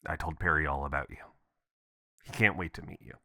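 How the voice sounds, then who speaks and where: A man's voice: rumbling low, a little fast, gravelly, dry recording, studio quality, full character voice. A man playing an adventurous, affectionate boyfriend leaving casual, playful voicemails in an intimate roleplay style. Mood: amused, affectionate. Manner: casual, expressive, chuckling, swearing. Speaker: audio performer.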